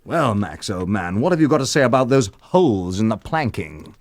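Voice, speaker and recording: A swaggering, sneering drunken cad. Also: male voice, on the low side, very animated, moderate pace. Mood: taunting, cocky. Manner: taunting, familiar, theatrically insinuating. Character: sneering drunken blackmailing cad, man, cocky and insinuating. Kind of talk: radio drama acting.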